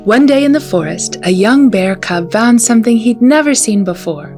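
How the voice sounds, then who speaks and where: A woman's voice: a little high, expressive, normal speed, music in the background. A woman hosting a children's storytime, reading a picture book aloud in a storytelling style.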